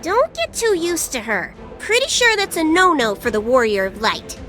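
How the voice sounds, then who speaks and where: Squeaky, cheeky little game fairy. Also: female voice, sing-song, normal speed, sharp tone, faint music behind, exaggerated voice. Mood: sardonic. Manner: sassy, sing-song, exclamatory. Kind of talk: video game cutscene acting.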